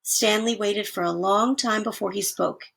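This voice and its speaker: A woman reading a children's story aloud in an expressive narrating style. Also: medium pitch, moderate pace, gravelly, husky. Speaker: story reader.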